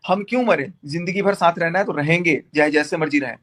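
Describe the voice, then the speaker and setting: A man's voice: on the high side, fast talker, a bit bright. A man speaking insistently in a heated, casual discussion, repeating his phrases to make his point. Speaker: YouTuber in a group call. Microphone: group phone call.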